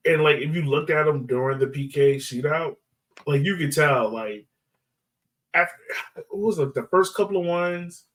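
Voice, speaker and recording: A man's voice: on the high side, normal speed, a bit bright. Casual man hosting a sports podcast. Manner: informal, conversational, thinks aloud.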